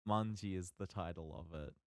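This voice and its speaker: A man co-hosting a podcast, chatting casually and haltingly as he thinks aloud with lots of ums. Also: low voice, no room sound, studio quality. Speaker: podcast co-host.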